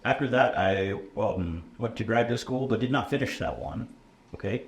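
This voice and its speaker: A lower-pitched American man in his thirties talks through his career in a loose, conversational way, dropping ums and checking in with "okay?" as he explains. The room rings a bit around him, with a faint hum underneath. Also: normal speed. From USA. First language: English. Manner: casual, many ums and uhs, checks in with "okay?". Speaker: computer science instructor. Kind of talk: relaxed conversational interview. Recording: roomy echo, faint room noise.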